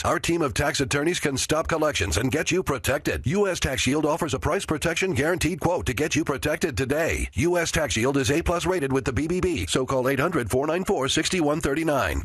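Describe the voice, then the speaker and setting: A male American announcer reads a scripted radio ad. His voice sits a little high with a slightly husky edge, recorded very dry. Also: fairly bright tone, dry recording. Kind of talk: scripted commercial read.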